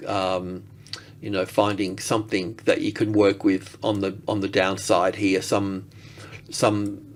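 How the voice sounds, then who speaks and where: A man giving casual trading commentary as a market analyst, thinking aloud in a rambling way and often rephrasing himself. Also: lowish voice.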